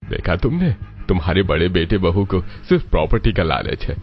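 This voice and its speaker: A man plays a wise older father in a cartoon family story. His voice is very low and admonishing, slightly dull in the recording, with music behind. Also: sing-song, normal speed. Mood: reproachful. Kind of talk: Hindi cartoon moral-story voice acting.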